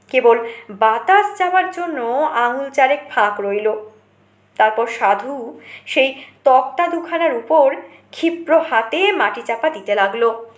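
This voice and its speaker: Woman storyteller, very high voice, unhurried dramatic narration, scripted, roomy echo.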